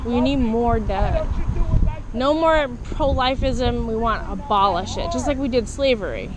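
A woman with a somewhat high voice speaks earnestly and insistently, circling back to her points. She is recorded outdoors in a parking lot on a body-worn camera. Crowd noise carries in the background. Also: a little slow, rough recording. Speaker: abortion abolitionist activist. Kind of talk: outdoor street conversation.

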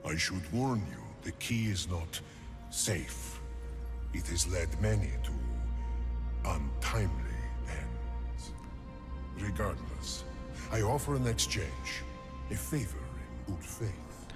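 An actor playing an ancient, otherworldly king in a video game, solemn and condescending, with grave, deliberate pronouncements. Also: deep voice, animated. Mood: grave, bargaining. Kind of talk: video game character monologue. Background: music.

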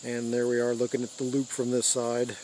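An older American man giving a casual, unscripted show-and-tell in halting short phrases, recorded outdoors on a handheld device. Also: medium pitch, monotone, darkish tone, a little hiss or hum, rough recording. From United States. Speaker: radio hobbyist giving a tour. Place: open rural backyard, outdoors. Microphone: handheld phone or camera mic.

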